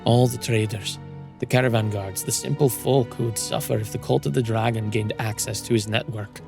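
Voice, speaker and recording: A man reading scripted dramatic fantasy narration as a storyteller, in a measured, solemn manner. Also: fairly low pitch, fairly even intonation, gravelly, music in the background.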